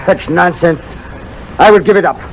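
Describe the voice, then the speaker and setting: An actor playing a jovial, self-mocking great scientist, in theatrical 1930s radio style. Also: male voice, sits high, normal speed, gravelly, slight background noise, poor audio. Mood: tipsy, playful. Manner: theatrical, declamatory. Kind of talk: 1930s radio drama acting. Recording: old 1930s radio recording.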